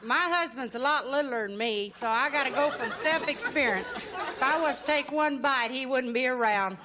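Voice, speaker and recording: A woman with a Texan accent plays on a game show, her voice pitched high and her talk folksy and joking. Old broadcast audio carries a loud studio audience and heavy noise. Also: normal speed, over a noisy crowd. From Texas. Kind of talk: casual chat.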